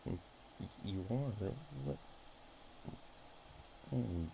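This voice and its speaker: A man's voice: fairly low pitch, expressive, extremely slow, gravelly, full character voice. A man voicing a bashful, affectionate dragon girl in an intimate ASMR roleplay, stammering and hesitant. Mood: bashful, awkward. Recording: faint background noise.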